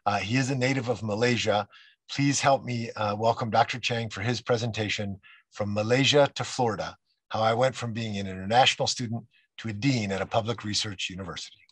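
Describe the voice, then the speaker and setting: A man's voice: on the low side, fairly even intonation, fairly quick, fairly bright tone, breathy edge, no echo. A man in his forties on a video call from an office, reading a formal introduction of a guest with frequent "uh" fillers. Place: office with bookshelves.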